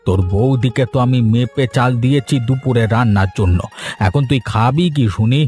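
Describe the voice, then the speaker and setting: A man's voice: medium pitch, fairly quick, a little mellow, natural voice. A man playing a stingy old grandfather in a Bengali cartoon, scolding and bossy as he grumbles at his household. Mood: suspicious, stern. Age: older. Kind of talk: Bengali moral-story cartoon dubbing. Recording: faint music behind the voice.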